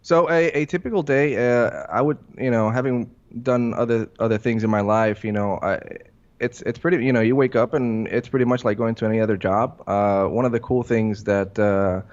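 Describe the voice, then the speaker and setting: A man answering interview questions as an air traffic controller, in a halting, hesitant way full of fillers, on a muffled recording. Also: medium pitch, darkish tone. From Puerto Rico.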